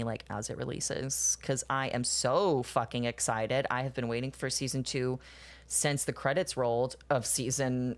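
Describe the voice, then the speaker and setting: A young woman in her twenties with a notably low voice, talking with her live viewers in a loose, rambling way. She swears freely and laughs often as she goes. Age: 20s. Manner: rambling, profane, laughs often, answers chat. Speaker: streamer chatting with viewers. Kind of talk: casual chat while drawing.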